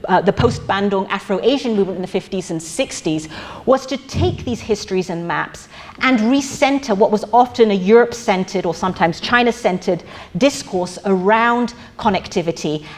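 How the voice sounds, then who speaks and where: A middle-aged woman gives an academic lecture in an auditorium, her voice at an ordinary middle pitch. She talks haltingly, full of fillers and restarts as she works through her points. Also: lively intonation. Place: museum auditorium.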